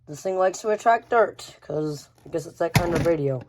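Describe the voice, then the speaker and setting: A woman's voice: lowish voice, animated, moderate pace, background noise. A woman giving a casual, unscripted show-and-tell of a collection, rambling and often repeating phrases. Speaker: radio collector showing a collection.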